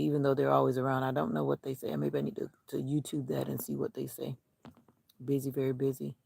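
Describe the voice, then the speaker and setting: A woman's voice: very deep voice, vocal fry, darkish tone, slightly rough, dry recording. A woman vlogging casually outdoors, musing in a rambling way to herself and her viewers. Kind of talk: casual outdoor vlog chat. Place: outdoors on a nature walk.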